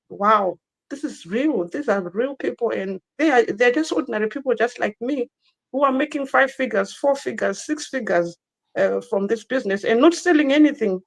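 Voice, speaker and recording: A woman's voice: on the high side, gravelly. A woman in her thirties from Zimbabwe, a guest telling her personal story in an online interview, earnest and given to repeating herself.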